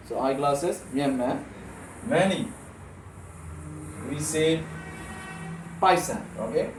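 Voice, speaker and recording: A man's voice: medium pitch, darkish tone. A man whose first language is Burmese, teaching it as a language lesson and explaining patiently, often checking in with "right?". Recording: echoey room, mic at a distance, faint noise.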